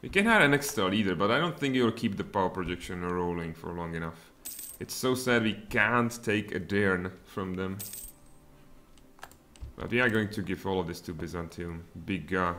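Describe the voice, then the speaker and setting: A man in his thirties with a Czech accent, streaming a strategy game from home in lively, muttered commentary with sudden outbursts. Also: deep voice, moderate pace. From Czech Republic. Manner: animated, muttering asides, sudden exclamations. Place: home room, indoors.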